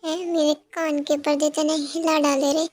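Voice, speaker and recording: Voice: high voice, level tone, moderate pace, a little mellow, close to an everyday voice. A voice actor playing a vain, sassy female mermaid who talks in brash street slang.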